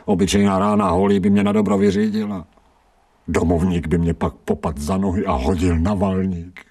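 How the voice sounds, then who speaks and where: An actor playing a scrawny, streetwise stray dog in a radio drama, grumbling in colloquial speech with sardonic self-pity. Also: male voice, low voice, steady tone, darkish tone, slightly rough, far from the mic. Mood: suffering, bitter. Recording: 1990 radio drama, off-mic.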